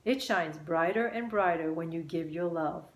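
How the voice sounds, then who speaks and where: A woman voicing a kind, encouraging butterfly mentor in a children's storybook, speaking in a gently instructive way. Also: fairly low pitch, moderate pace, reverberant, close to an everyday voice, poor audio. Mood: uplifting.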